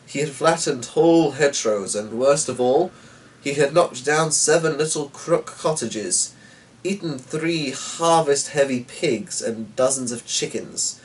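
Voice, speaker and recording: A man reads a story aloud in a theatrical, measured manner. His pace is slow and his mid-pitched voice sits a little away from the microphone. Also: distant mic, poor audio. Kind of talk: dramatic reading of a short story.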